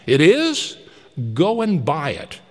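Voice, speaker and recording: A man's voice: on the high side, big pitch swings, measured pace, pitched above the performer's own voice. A man voicing an old repentant miser, speaking in an impassioned, exclamatory way. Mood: giddy, joyful. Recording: long reverberant hall.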